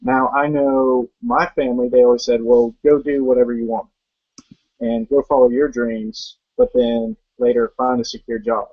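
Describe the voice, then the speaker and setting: A man's voice: mid-range voice, expressive, moderate pace, no echo. A young man in a middle register speaks earnestly, pressing his points with rhetorical questions. The recording is dull and muffled, with a dry, close sound. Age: young adult. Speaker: network marketing guest. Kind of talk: motivational talk.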